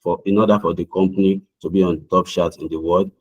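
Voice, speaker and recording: A man's voice: sits low, fairly even intonation, a little fast, a little mellow, husky, lo-fi sound. A young man from Nigeria with a Nigerian accent, answering mock visa interview questions over a video call in an earnest, formal way, often restarting his words.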